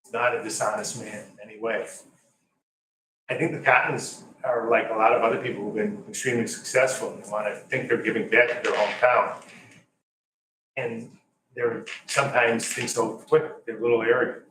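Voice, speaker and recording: An older man, a lawyer, speaking off the cuff at a city meeting, rambling and halting with many restarts. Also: on the low side, steady tone, fairly bright tone. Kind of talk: unscripted public comment. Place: council chamber. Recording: echoey chamber, distant mic, faint hiss.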